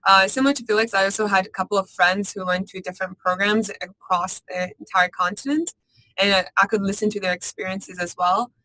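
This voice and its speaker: A woman from Georgia answering on a student panel in a lively, casual way. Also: mid-range voice, fairly quick, no echo, low-quality recording. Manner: lively, laughs easily.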